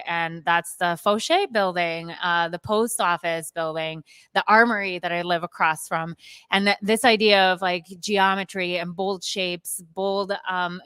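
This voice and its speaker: A woman presenting her design concept in a relaxed, conversational way. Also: lowish voice, clean tone, dry recording. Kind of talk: presentation on a live stream.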